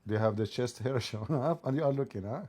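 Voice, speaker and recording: A man's voice: mid-range voice, fast talker, darkish tone, breathy edge. A middle-aged man, hosting a debate livestream, mocking and needling his guest with repeated pointed questions. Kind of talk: mocking, provocative debate talk.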